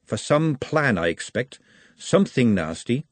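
A man's voice: mid-range voice, big pitch swings, measured pace, no echo. A man voicing a blunt, cynical young working-class man in a storybook reading. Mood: sardonic. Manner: blunt, sardonic.

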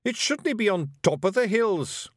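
Male reader as a gruff, commanding Scottish pictsie chief, animated. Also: sits high, measured pace, no room sound, studio quality, voice pushed up, heavily acted. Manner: brusque, commanding.

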